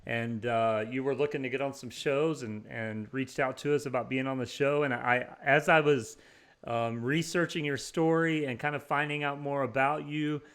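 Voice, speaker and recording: A man hosts an interview show in an easy, upbeat way, with a voice of ordinary middle pitch. He laughs often and fills his talk with ums and restarts. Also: fairly even intonation, normal speed, fairly bright tone, smooth. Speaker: podcast host. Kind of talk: casual interview chat.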